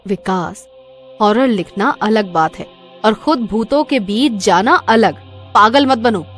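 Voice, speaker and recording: An actress playing a worried, loyal young woman with concerned, dramatic delivery in an animated horror story. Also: mid-range voice, slow, soft background music. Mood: scolding.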